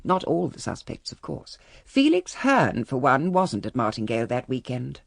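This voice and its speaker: A woman's voice: very deep voice, very animated, normal speed, creaky, no echo, clean studio sound, exaggerated voice. An actress playing a genteel, reflective older English lady of a declining manor, narrating a radio drama in a refined, wry manner. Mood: ominous, reflective. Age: middle-aged or older.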